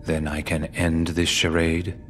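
A man's voice: low voice, flat delivery, a little slow, fairly bright tone, soft background music. A man voicing a brooding, impatient villainous henchman in a video game. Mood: impatient, restrained. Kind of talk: video game cutscene acting.

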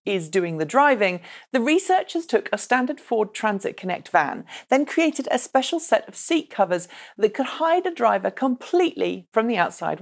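A woman in her thirties narrating a scripted news explainer in an articulate, measured presenter's delivery. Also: a little high. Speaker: presenter of an online transport news show.

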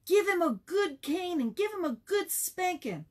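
A woman's voice: extremely high pitch, big pitch swings, measured pace, pitched above the performer's own voice, heavily acted. A woman reading a children's story and voicing eager young schoolboys calling out in class. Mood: eager, accusing. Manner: exclaiming, eager.

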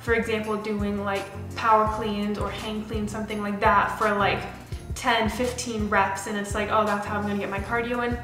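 A woman's voice: mid-range voice, flat delivery, normal speed. A woman, explaining fitness training to camera in a casual, direct way as a coach. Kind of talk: explanatory talking-head fitness advice to camera. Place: small bare room indoors with a window. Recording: roomy indoor echo, music underneath. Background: background music.

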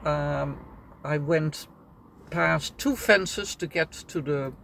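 A woman's voice: sits low, very slow, creaky, fairly bright tone. Older woman, Dutch accent, plain speech, searching for words, faint outdoor noise. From Netherlands. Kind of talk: casual conversation. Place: outdoors, windy canal side and roadside.

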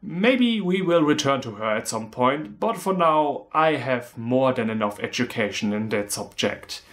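A man's voice: medium pitch, expressive, a little slow, fairly bright tone. A man in his thirties from Germany with a German accent, delivering a scripted, humorous film review in a sardonic manner.

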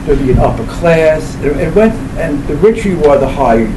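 A man in his seventies giving an informal lecture to a live audience in a halting, discursive way, circling back over his phrases. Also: fairly high pitch, lively intonation. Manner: halting, discursive, with repeated phrases and self-corrections. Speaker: professor giving a book presentation. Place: indoor library event room. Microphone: camera mic a few meters away. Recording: roomy, distant mic with loud background noise.

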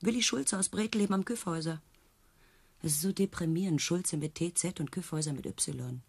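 An actress playing a disillusioned, sarcastic circus trick rider in radio play dialogue, with a husky voice. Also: female voice, fairly low pitch, a little fast, a little mellow, dry recording. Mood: mocking. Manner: sarcastic, needling.

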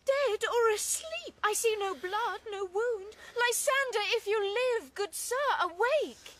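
A woman's voice: very high-pitched, animated, slow, fairly bright tone, full character voice. A woman playing a young lovelorn maiden in a classical radio drama, earnest and plaintive, with theatrical verse delivery. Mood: desperate, breathless.

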